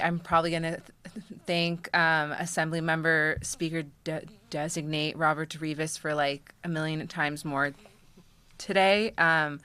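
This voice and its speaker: A woman's voice: deep voice, a bit bright, clear, resonant tone. A young woman who stutters, giving a candid prepared speech and introducing speakers at a press conference. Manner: stutters with frequent sound and word repetitions; candid, light self-deprecating asides. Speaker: podcast host and press conference emcee.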